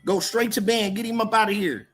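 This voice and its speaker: A man's voice: high-pitched, steady tone, a little fast, faint music behind. An American man from California hosting a casual livestream, talking in a slangy, repetitive, confrontational way as he moderates the chat. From Oakland, California, US.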